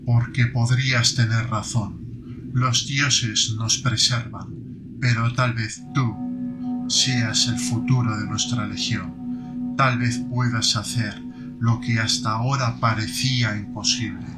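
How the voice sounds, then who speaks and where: A man voicing a slow, doubting old-guard warrior. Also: mid-range voice, fairly even intonation, over loud music, lightly acted, low-quality recording. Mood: wary. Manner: slow, wary and questioning. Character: skeptical veteran male Iron Warrior.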